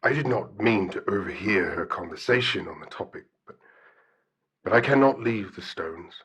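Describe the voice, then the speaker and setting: An actor playing a solemn, shy, bear-like guardian spirit in an audio drama, speaking formally and hesitantly. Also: male voice, lowish voice, animated, moderate pace, a bit bright, slightly rough. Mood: hesitant, awkward. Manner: formal, hesitant, articulate speech. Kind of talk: audio drama radio call-in acting.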